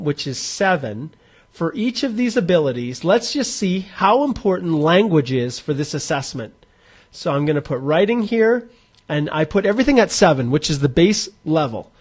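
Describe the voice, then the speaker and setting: A man in his forties hosting a live Q&A, chatting informally and thinking aloud as he walks viewers through an online points calculator. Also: sits high. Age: 40s. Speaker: immigration advisor hosting a live Q&A. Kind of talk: live-streamed explainer walking through a calculator.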